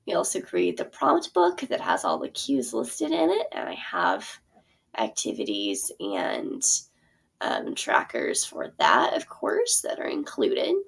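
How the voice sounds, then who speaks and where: A woman's voice: on the low side, wildly swooping pitch, takes it slowly, creaky, breathy edge. A young adult woman, a theater teacher, casually walking through her course plan in a chatty way with many ums and self-corrections. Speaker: theater teacher and curriculum creator. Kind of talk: casual explanatory product walkthrough.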